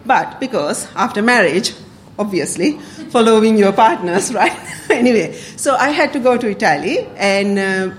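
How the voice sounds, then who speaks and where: A woman's voice: lowish voice, slight background noise. A woman from Sri Lanka giving a public talk to a club, speaking hesitantly with many fillers and the odd laugh. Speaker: community council speaker.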